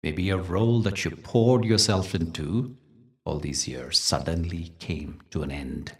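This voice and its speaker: A man with a deep, low voice leads a guided meditation. He speaks gently and deliberately, pausing for effect as he tells stories and soothes the listener. His voice sits a little distant from the microphone. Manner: gentle, deliberate, soothing delivery with dramatic pauses. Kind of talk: guided meditation talk with storytelling.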